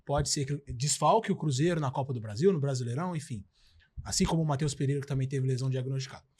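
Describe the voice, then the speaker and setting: A man's voice: medium pitch, quick pace, a little mellow, slightly rough. A Brazilian man co-hosting a sports talk show, musing along with fillers and trailing sentences. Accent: Brazilian Portuguese.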